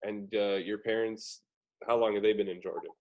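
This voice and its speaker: A man's voice: on the low side, little pitch movement, moderate pace, dull audio. A man in his twenties hosting a podcast from home over a webcam call, casual and friendly with short questions. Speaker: podcast host. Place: room at home, indoors. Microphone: webcam video call.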